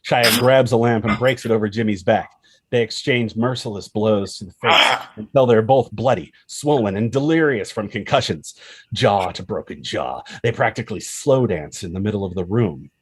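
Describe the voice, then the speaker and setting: A man's voice: fairly low pitch, normal speed, husky, no room sound. A man reading stage directions as dramatic scripted narration at a table read, in punchy phrases. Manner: punchy, present-tense action reading.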